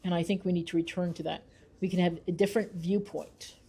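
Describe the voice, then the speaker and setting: A woman researcher talks in an interview with a mellow, soft-edged voice. She explains her views earnestly and leans on key points with emphasis, in a thoughtful, conversational way. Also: on the low side, fairly even intonation, brisk, husky. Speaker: researcher and author being interviewed.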